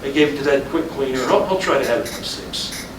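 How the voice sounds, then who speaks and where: A man's voice: medium pitch, fast talker, close to an everyday voice. A man acting a weary, wry middle-aged policeman husband in a staged reading of a play. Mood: grumbling. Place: indoor live reading at a college. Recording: echoey room from far off, noisy.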